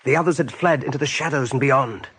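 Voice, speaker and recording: An actor narrating an animal adventure for a radio play in a measured, suspenseful storytelling manner. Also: male voice, mid-range voice, fairly bright tone, husky.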